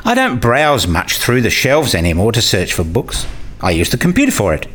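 A man's voice: mid-range voice, huge pitch swings, normal speed, fairly bright tone, slightly rough, full character voice. A man acting in a scripted radio play as an eager, opinionated character who explains and argues his ideas. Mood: earnest, argumentative.

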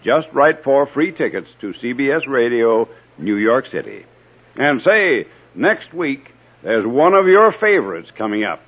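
A man's voice: medium pitch, very animated, unhurried, breathy edge. An American man hosting a 1950s radio programme, reading scripted announcements. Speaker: announcer and host. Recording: dull old radio recording.

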